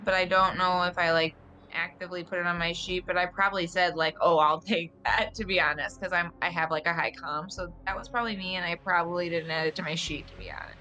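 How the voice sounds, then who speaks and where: Lower-voiced woman chatting as a game player. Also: steady tone, quick pace, bright, forceful tone. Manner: conversational, peppers speech with "like". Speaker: player in a tabletop roleplaying game. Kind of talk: casual chat. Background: music.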